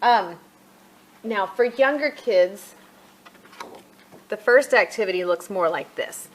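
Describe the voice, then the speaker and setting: A middle-aged woman gives a casual product walkthrough in her home. Her voice sits at an average pitch, and she speaks in an explanatory, conversational way, as a homeschooling parent would to other parents. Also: takes it slowly, poor audio.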